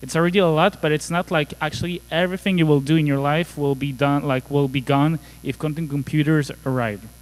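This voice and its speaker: A man from France with a clear French accent talks through a technical topic at a conference. His voice sits a little high, and he jokes casually with plenty of "uh" and "like". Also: fairly even intonation, fairly quick, hardly any pauses, rough recording. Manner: casual, joking, filler-heavy. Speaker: conference speaker. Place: conference hall.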